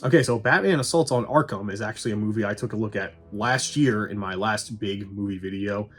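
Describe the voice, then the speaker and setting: A man's voice: fairly low pitch, fairly quick. A man giving a casual scripted review commentary, with sarcastic dry humour and some swearing. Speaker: video game movie reviewer. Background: faint music.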